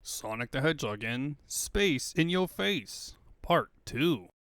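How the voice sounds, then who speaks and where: A man's voice: on the high side, sing-song, slow pace, fairly bright tone, dry recording, clean studio sound. A man reading scripted narration for a comic book fandub.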